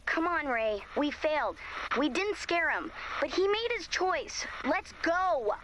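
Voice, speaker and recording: A woman's voice: high-pitched, expressive, slow, background noise. A woman voicing an emphatic, dramatic young misfit heroine in a video game, sarcastic yet vulnerable. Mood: urgent.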